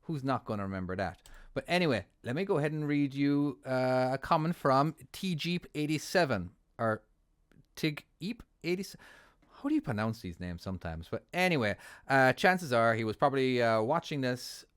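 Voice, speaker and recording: A man's voice: medium pitch, very animated, normal speed, clean tone, dry recording, studio quality. Chatty Irish man commentating a game. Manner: chatty, self-deprecating, laughs often. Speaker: trucking channel host. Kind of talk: casual gameplay commentary.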